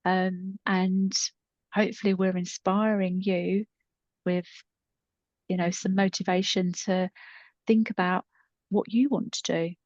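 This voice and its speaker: A woman's voice: medium pitch, little pitch movement, unhurried, pauses often, a little mellow, no echo, muffled recording. A middle-aged woman co-hosting a podcast in casual, unscripted chat, explaining things conversationally. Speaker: podcast co-host and storytelling creator.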